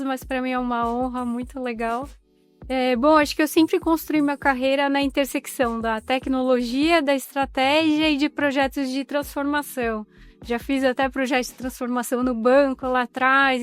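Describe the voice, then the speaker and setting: Woman, high clear voice, polite thoughtful panel guest, dry close mic. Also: dry recording. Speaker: panel guest, executive and professor. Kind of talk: panel discussion.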